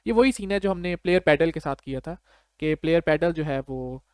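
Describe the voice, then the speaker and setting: Higher-pitched Pakistani man teaching informally. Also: lively intonation, brisk, a little mellow, clear, resonant tone, dry recording, clean studio sound. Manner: conversational, checks understanding with short tag questions. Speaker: programming tutor. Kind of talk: informal step-by-step tutorial explanation.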